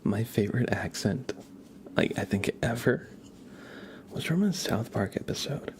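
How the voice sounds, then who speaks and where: A young man giving a soft, whispered ASMR tarot reading while chatting in a relaxed, rambling way with viewers. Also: medium pitch, measured pace, a little hiss or hum.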